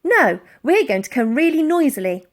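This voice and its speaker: A woman reads as a plucky little girl in a children's storybook. Her voice is high and lively, tender at heart and ready to be defiant. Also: moderate pace.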